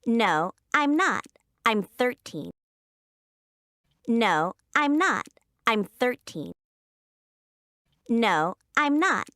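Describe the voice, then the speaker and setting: A woman acting a thirteen-year-old girl tennis player in a scripted textbook dialogue, giving short, slow, clearly spaced answers. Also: a little high, very animated, bright, forceful tone, no echo, studio quality, full character voice. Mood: plain. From Canada.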